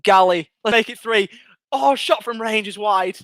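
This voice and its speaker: A young English man hosting a live fan watchalong, commentating on a match and reading out viewer comments, excitable and chatty, his voice going hoarse. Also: sits high, normal speed, no echo, studio quality. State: losing his voice.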